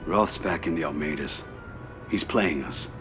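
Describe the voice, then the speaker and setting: A mafia don in a game cutscene. The voice is very low and American, grave and tightly controlled, every order measured, with soft music behind it. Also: male voice. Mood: stern, controlled. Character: cold, controlled mafia don, younger brother. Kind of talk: video game cutscene acting.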